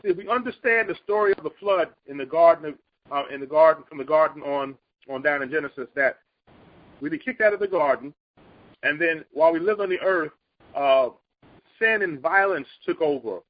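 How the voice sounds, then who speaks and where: A man with a high, husky voice gives an earnest Bible teaching in a relaxed conversational way. He speeds up and lifts his pitch when he makes a point. The recording is muffled and lo-fi. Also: a little fast.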